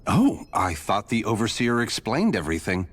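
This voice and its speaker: An actor playing a breezy, blunt know-it-all informant in a video game, explaining in an offhand way. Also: male voice, lowish voice, huge pitch swings, normal speed, husky, exaggerated voice. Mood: blunt, amused. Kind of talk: video game cutscene voice acting.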